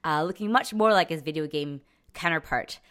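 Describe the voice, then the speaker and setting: A young woman hosting a podcast in a casual, conversational way, pausing and stretching words as she thinks. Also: low-pitched, fairly bright tone. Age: young adult. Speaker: podcast host.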